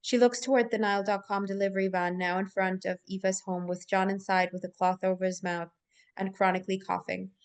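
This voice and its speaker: A woman's voice: medium pitch, flat delivery, a little fast, clear, resonant tone. A woman reading scripted stage directions as narrator at a live table read, in full descriptive sentences.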